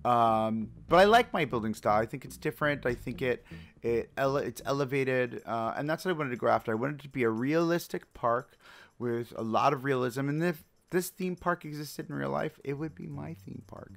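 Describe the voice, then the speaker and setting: Slightly raspy, chatty man. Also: mid-range voice, fairly quick. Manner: chatty, upbeat, many fillers and restarts. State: hoarse from screaming. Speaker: let's play host. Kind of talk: casual game commentary.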